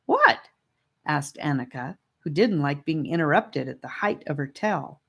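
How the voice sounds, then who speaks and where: Female audiobook narrator for children's fantasy, slightly low register. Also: lively intonation, moderate pace, slightly rough. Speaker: audiobook reader. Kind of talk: scripted children's story narration.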